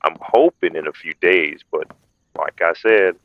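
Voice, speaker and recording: An actor playing a frustrated, businesslike vampire hunter in an audio roleplay, reporting in a weary, matter-of-fact way. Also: male voice, deep voice, a little slow, background noise. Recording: dull, muffled lo-fi sound.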